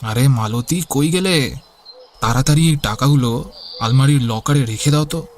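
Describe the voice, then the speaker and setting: A man's voice: medium pitch, moderate pace, close to an everyday voice. A man voicing a greedy young married son in a cartoon, self-assured and dismissive. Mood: smug, impatient.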